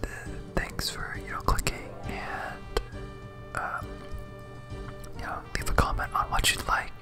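A whispered, breathy voice gives ASMR gameplay commentary at a slow pace. The delivery is hesitant and rambling, often self-deprecating. Music plays clearly behind it. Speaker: host, game streamer.

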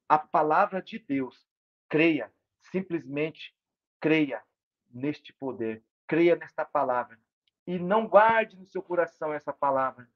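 A man in his fifties giving an earnest short devotional on a video call from his home, with a repetitive preaching cadence. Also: fairly high pitch. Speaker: lay preacher. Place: home room with large windows. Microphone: laptop webcam mic on a video call. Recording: dull lo-fi video call audio.

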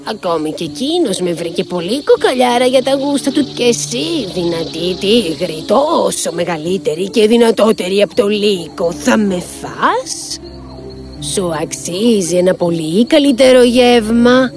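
A woman voicing a brave, gentle, cunning old village woman in a children's fairy-tale cartoon, with coaxing, drawn-out phrasing. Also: mid-range voice, lively intonation, lightly acted. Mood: coaxing, flattering. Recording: music behind the voice.

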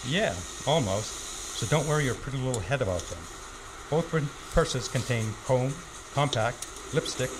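Casting: actor as a middle-aged, brusque male homicide cop for vintage radio, average pitch, heavy background noise. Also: natural voice. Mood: matter-of-fact. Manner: brusque, matter-of-fact. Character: dismissive, overworked male police detective. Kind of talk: 1940s radio detective drama acting. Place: live on a stage before a curtain. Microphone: stand microphone.

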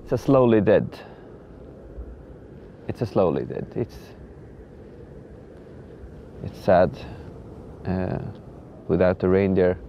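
Slow, halting low-voiced Nordic man. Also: animated, gravelly, slight background noise. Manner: halting, searching for words in simple second-language English. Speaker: reindeer herder. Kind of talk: reflective documentary interview. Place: outdoors on open tundra. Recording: muffled sound with music behind.

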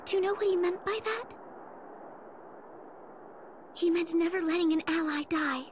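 A woman playing a gentle, earnest, quietly resolute young ninja woman in an anime game dub. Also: very high voice, normal speed, background noise. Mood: earnest, tender. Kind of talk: dubbed anime game acting. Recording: loud music under the voice.

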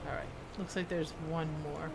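Woman streamer kinda rambling thru casual game commentary, lowish voice, clear noise behind her. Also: steady tone, normal speed. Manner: rambling, talking to herself. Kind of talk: casual live gameplay commentary, reading quest text aloud. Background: video game sounds.

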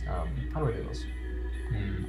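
A man's voice: low-pitched, animated, normal speed. A man giving playful, joking commentary while playing a game, reading on-screen text aloud. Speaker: gamer. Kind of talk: let's play commentary. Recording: roomy echo with game music. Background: game music.

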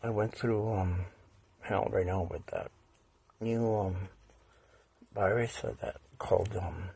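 Guy rambling over a video, real raspy and croaky, stops and repeats himself, lowish voice. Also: steady tone, dark tone, dry recording. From United States. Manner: halting and repetitive, with rhetorical questions. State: hoarse after a recent stomach virus. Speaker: video narrator. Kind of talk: casual rambling commentary.

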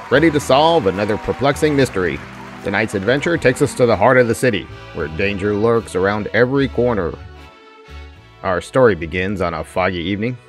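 A man's voice: fairly low pitch, lightly acted. A man playing an intrepid, confident private detective in a theatrical old-time radio serial style. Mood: showy. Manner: unflappable, theatrical. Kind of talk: 1940s radio detective acting.

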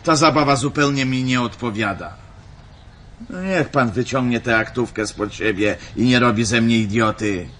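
An actor playing an evasive, scornful engineer at the wheel in a radio drama, talking in a colloquial, mocking way. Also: male voice, mid-range voice, normal speed, fairly bright tone, a little hiss or hum, natural voice. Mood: irritated. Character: evasive, scornful male engineer and driver brushing off worries. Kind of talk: naturalistic Polish radio drama acting.

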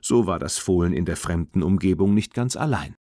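A man narrating a children's audiobook in an expressive storytelling manner. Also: low-pitched, brisk, no echo, clean studio sound.

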